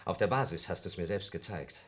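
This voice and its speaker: A man's voice: deep voice, animated, fast. An actor playing an agitated male astronaut, sarcastic, defensive and accusatory, in a German radio play. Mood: guarded, insistent.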